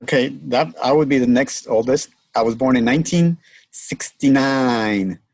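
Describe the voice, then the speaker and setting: Relaxed man in his fifties from Mexico, gravelly, dry close sound. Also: medium pitch, moderate pace, no room sound, rough recording. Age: 50s. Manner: laid-back, laughs easily. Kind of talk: casual chat on a video call.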